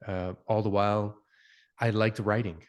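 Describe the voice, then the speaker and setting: Hesitant low-voiced man, interview guest. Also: little pitch movement, measured pace, clean tone. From Canada. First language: Italian. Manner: hesitant, many fillers and restarts, reflective. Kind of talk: conversational interview.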